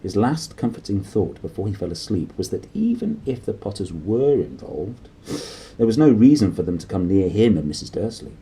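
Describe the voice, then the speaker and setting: A man narrating a children's storybook aloud in an expressive style. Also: lowish voice, moderate pace. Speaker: storybook reader.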